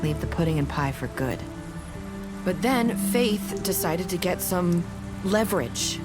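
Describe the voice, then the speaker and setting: A woman voicing a guarded, sorrowful young woman in a video game drama, speaking hesitantly and haltingly. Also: lowish voice, animated, loud music behind the voice. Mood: hesitant, confiding.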